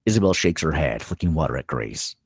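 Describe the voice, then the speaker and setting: A man's voice: very deep voice, expressive, brisk, gravelly, breathy edge, no echo, studio quality. A man reading stage directions as the narrator of a screenplay table read.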